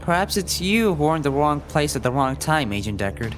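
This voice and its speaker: A man as a lively, higher-voiced wry detective. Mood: defiant, dry. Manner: wry, quick to retort. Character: dry-witted, defiant junior male detective partner. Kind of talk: audio roleplay drama acting. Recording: music behind the voice.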